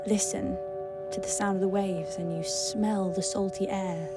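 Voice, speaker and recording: A woman speaks in a conversational, reflective way, as if recalling her own life. She is earnest and leans on key words, with mid-range pitch and some music behind her. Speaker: interviewee sharing personal memories. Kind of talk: reflective personal reminiscence.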